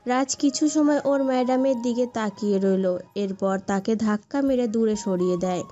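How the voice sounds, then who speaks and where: A woman's voice: fairly high pitch, moderate pace, warm tone. A woman narrating a scripted romantic story as its storyteller. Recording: dull lo-fi sound, background music.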